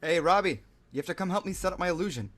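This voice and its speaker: A man playing a brash, energetic, bossy stage illusionist in a table read. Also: high-pitched, rapid-fire. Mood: brisk, boisterous.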